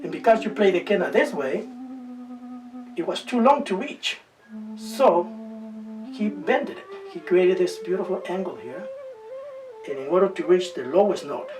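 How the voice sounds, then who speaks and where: Man, native Spanish speaker, quena player, eager explanations, restarts words, distant mic, music underneath. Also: sits high. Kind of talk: documentary explanation.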